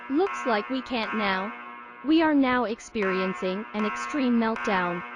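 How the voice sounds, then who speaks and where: A woman's voice: a little high, unhurried, music in the background, natural voice. A woman playing an alarmed nuclear plant worker taking charge in an amateur audio drama. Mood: dismayed, urgent.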